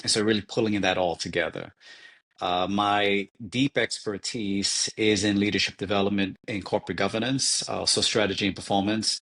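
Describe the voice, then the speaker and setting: Interview guest: man, 40s, from New York, low voice, measured and reflective delivery. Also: steady tone, fairly bright tone. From New York City. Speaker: guest, leadership advisor. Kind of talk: interview conversation.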